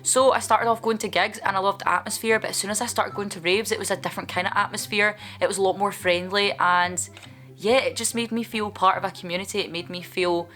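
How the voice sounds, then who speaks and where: A woman's voice: mid-range voice, steady tone, quick pace, fairly bright tone. A woman, vlogging in a chatty, candid way as she answers viewer questions, with playful asides. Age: 20s.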